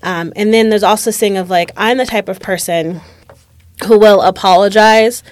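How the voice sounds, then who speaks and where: A woman's voice: medium pitch, normal speed, no room sound. A woman in her late twenties talking candidly and reflectively in a casual conversation, peppering her speech with "like". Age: late 20s. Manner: reflective, candid, heavy use of "like".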